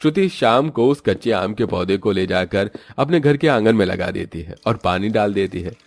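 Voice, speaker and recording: A man's voice: lowish voice, expressive, moderate pace, vocal fry, no room sound, faint music behind. A man narrating a scripted moral story as its storyteller.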